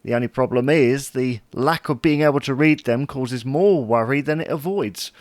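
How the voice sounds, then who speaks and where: A man's voice: mid-range voice, moderate pace, no echo, close to an everyday voice. A man playing a dry, long-suffering husband who placates his wife in a domestic audio drama. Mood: wry, tired. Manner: wry, dryly placating. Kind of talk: domestic comedy-drama dialogue.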